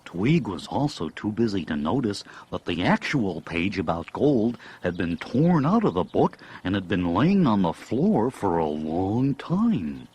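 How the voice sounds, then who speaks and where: A man voicing a good-natured, simple creature sidekick with a husky, homespun manner in a children's story. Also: lowish voice, big pitch swings, a little slow, hardly any pauses, a little mellow, exaggerated voice. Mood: folksy, friendly. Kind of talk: children's story cassette voice acting.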